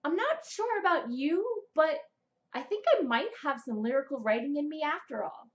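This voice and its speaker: High-voiced young woman vlogger, slightly muffled. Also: expressive, moderate pace. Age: young adult. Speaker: vlogger, writer and amateur voice actor. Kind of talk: scripted vlog talk on writing tips. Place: small plain indoor room, seated.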